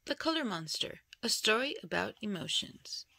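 A woman reading a children's picture book aloud in an expressive storytelling style. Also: medium pitch, moderate pace, creaky, dry recording. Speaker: storybook reader.

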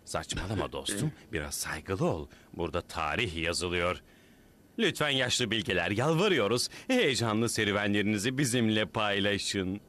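A man's voice: on the low side, expressive, normal speed, fairly bright tone. A man voicing a big, earnest and naively reverent superhero with a grandiose manner in a cartoon dub.

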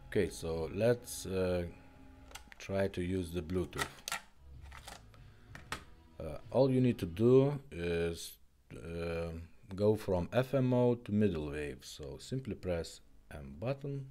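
A man casually presenting a product demonstration, with halting, simple non-native English phrasing. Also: low-pitched, expressive, warm tone, soft background music. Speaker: presenter demonstrating retro car radios.

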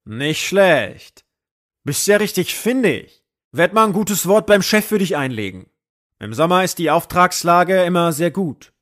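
A man acts out an everyday guy in a radio play, chatting in a colloquial, conversational way. His voice is high for a man. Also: animated, unhurried, a bit bright. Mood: chummy. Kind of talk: audio drama dialogue.

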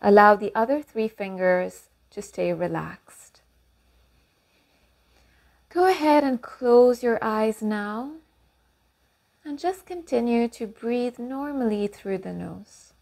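A woman leading a guided meditation and affirmations in calm, unhurried instruction with long pauses. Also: on the high side, gravelly.

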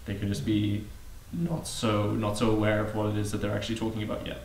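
A man's voice: lowish voice, monotone, fast, creaky, a little mellow, slight background noise. A man leading a workshop and speaking loosely to a group. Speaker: workshop leader. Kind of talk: addressing a group. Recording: echoey room picked up from a distance.

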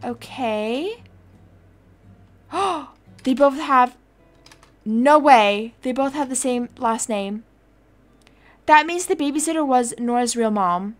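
Woman playing a chatty adoptive mum, high voice. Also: lively intonation, takes it slowly, pauses often. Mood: puzzled, alarmed. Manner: chatty, thinking aloud. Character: worried adoptive mother, chatty, casual, easily alarmed. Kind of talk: improvised video game roleplay.

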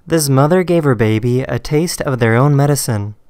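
A man's voice: medium pitch, normal speed, darkish tone, a little hiss or hum. A man hosting and narrating a compilation of short video clips in a scripted style.

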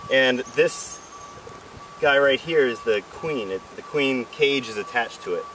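A man's voice: mid-range voice, expressive, unhurried, noisy background. Hesitant man narrating a beekeeping job. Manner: hesitant, unsure, self-deprecating. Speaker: first-time beekeeper. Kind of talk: casual how-to narration while working. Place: outdoors in a leafy yard. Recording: outdoor camera audio with buzzing bees, from a distance. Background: buzzing bees.

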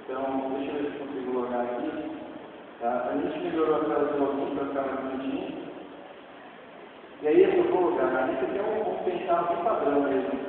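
A man's voice: on the high side, brisk. A Brazilian man giving an informal conference talk and live demo, speaking conversationally and often adding short tag questions to check for agreement. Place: stage of a large dark auditorium. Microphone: distant camera in the audience. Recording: echoey, noisy hall, tinny, from far off.

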